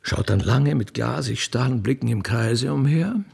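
A man narrating stage directions in a deliberate, theatrical way, as in scripted radio drama. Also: mid-range voice, lively intonation, vocal fry, a little mellow, husky.

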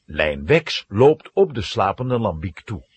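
A man giving dramatic, expressive scripted narration of a children's story. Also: lowish voice, takes it slowly, breathy edge, dry recording.

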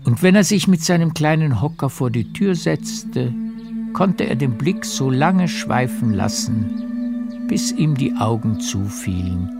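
A man narrating a literary radio play in a measured storytelling manner. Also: medium pitch, animated, vocal fry. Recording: music behind the narration.

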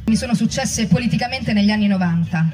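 Heard off-mic over music, a reflective former activist played by a woman recalls student years in a mellow voice. The delivery is that of a staged testimony, read as memory. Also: mid-range voice, quick pace, close to an everyday voice. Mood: reflective, recollecting. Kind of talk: dramatic reading of oral history testimony. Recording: distant microphone, music underneath.

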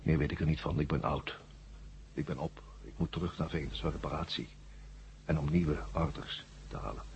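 A man playing an ancient, worn-out war machine brain in a 1970s radio drama, weary and forgetful, in flat, halting, repetitive statements. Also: a bass voice, slight background noise. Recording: muffled old radio drama recording.

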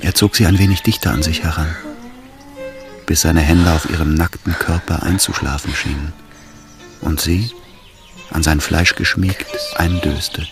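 The narrator of a literary radio drama. His voice is very deep, calm and hushed, unfolding descriptions in a measured way. Music runs clearly underneath. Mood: tender, hushed. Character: calm literary narrator of a sensual love story.

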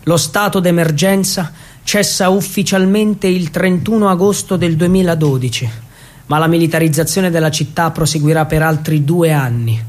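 An Italian man performing scripted theatrical narration for radio, in a declamatory, deliberate storytelling manner. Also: fairly high pitch, a little mellow. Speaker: actor and narrator of a theatre monologue.

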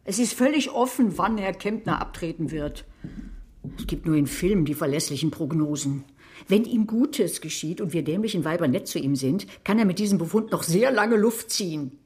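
A woman's voice: lowish voice, expressive, moderate pace, darkish tone, breathy edge. An actress playing a blunt, sarcastic woman who narrates and looks after a sick man in a radio drama. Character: sharp-tongued woman caring for a sick man. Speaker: narrator and main character Carla.

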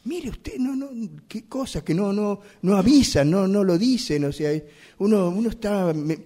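An actor playing a flustered, evasive driver in a radio theatre exercise, stammering and repeating himself. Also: male voice, high-pitched, expressive, moderate pace, dark tone. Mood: indignant, flustered. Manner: stammering, repetitive, hesitant. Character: flustered, evasive male driver pleading with police. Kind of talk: improvised radio theatre monologue.

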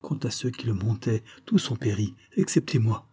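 A man's voice: lowish voice, moderate pace, warm tone, slightly rough. A man voicing a shipwrecked young sailor in a read-aloud story, telling of the wreck in an urgent, pleading voice. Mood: desperate.

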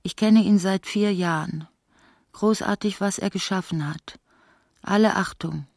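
A woman narrating a literary radio play in a measured, articulate way, voicing its long speeches. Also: mid-range voice, a little mellow, dry recording, studio quality, lightly acted. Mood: measured narration.

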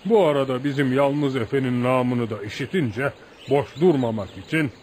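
A male actor plays a rustic village man in a radio theatre piece, with a mid-pitched voice. He tells legends of outlaws with admiration and lively folk-storyteller flair, always deferential to his listener. The recording carries a faint noise. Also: normal speed, lightly acted. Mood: reflective storytelling. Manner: animated folk storyteller, addresses listener as sir. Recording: noisy radio drama recording.

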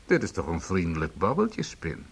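A man's voice: low-pitched, wildly swooping pitch, moderate pace, creaky, slightly rough, heavily acted. A man playing a smug, menacing police sergeant in a radio play, mock-polite and needling in manner. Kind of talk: Dutch radio drama dialogue.

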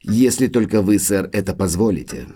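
An actor playing a formal, polite manservant of an old manor in an audio drama. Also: male voice, lowish voice, sing-song, moderate pace, mellow, breathy edge. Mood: deferential, formal. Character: deferential, sorrowful manservant butler of an old manor.

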